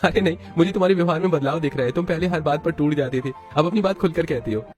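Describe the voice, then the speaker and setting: A man's voice: fairly high pitch, fast talker, darkish tone, soft background music, voice pushed up, natural voice. A man playing an earnest, reassuring young husband in a cartoon, remorseful and supportive. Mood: gentle, supportive.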